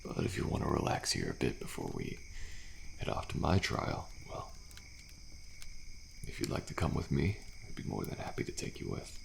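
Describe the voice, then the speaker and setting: Male actor as weary but friendly horror-game survivor, ASMR roleplay. Extremely low, heavy fry, hushed and reassuring. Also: big pitch swings, a little hiss or hum, heavily acted. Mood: calm, resigned. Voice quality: deep, gravelly. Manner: soft-spoken, hushed, unhurried, reassuring. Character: weary, friendly male survivor of deadly trials, resigned yet hopeful. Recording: faint night insect ambience behind.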